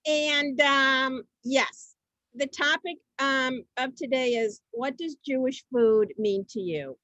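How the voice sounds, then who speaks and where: A woman moderates a group gathering in a fairly high voice. Her phrasing is hesitant and improvised as she steers things along. The recording is dry and free of room sound. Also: takes it slowly, pushed and bright, dry recording. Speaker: host of an online group event. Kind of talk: informal moderating.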